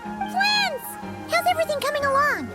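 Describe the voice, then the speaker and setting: A woman voicing a tiny, chirpy floating companion in a video game cutscene, excitable and bubbly, over background music. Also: very high-pitched, normal speed. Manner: bubbly, excitable, talks about herself in third person.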